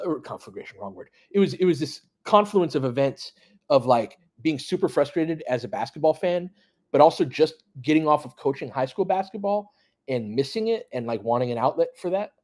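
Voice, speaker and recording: Opinionated man with a slightly high voice. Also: lively intonation, brisk, a little mellow. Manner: conversational, opinionated, sweary; partisan fan reacting to plays and chat. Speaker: host of a basketball fan live stream; former high school basketball coach. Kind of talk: casual live play-by-play with fan chat.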